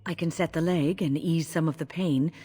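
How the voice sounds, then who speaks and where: Calm healer mage from a game, low husky voice, soothing. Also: female voice, normal speed, faint music behind. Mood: reassuring. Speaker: game character.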